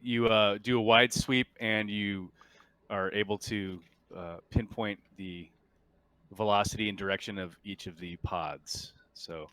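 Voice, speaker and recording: A man narrating and refereeing a tabletop roleplaying game as game master, in a halting, deliberate way. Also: on the low side, steady tone, bright, forceful tone, no echo.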